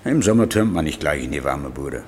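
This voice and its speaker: An actor playing a chatty railway crossing keeper with a folksy storytelling manner in a German radio crime drama. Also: male voice, extremely low pitch, expressive, brisk, a little hiss or hum. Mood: chatty, amiable.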